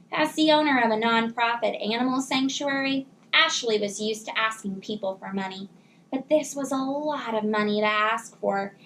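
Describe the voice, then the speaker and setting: A woman teacher reading a children's storybook aloud in a measured, expressive way. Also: high voice, slight background noise, poor audio.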